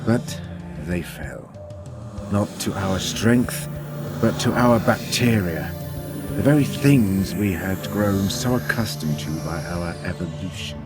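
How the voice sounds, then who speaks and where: A cold, menacing scientist villain in an audio drama, proud and resentful. The voice sits very deep and unhurried. Music plays clearly beneath him. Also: male voice, animated. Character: ruthless male scientist villain, grandiose and resentful. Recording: music behind the voice.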